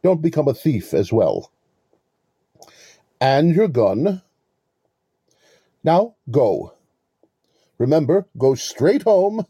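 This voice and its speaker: Man acting a smug, dark-voiced, husky con artist. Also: fairly high pitch, big pitch swings, extremely slow, pauses often, no echo, clean studio sound, full character voice. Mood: scornful, commanding. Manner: pompous, mock-courteous, lecturing. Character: smug, verbose male con artist posing as police lieutenant. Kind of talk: two-person audio play acting.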